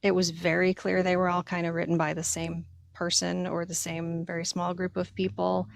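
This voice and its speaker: A woman's voice: fairly low pitch, monotone, normal speed, gravelly. A woman co-hosting a casual livestream conversation, chatting informally and giving her opinions. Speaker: co-host.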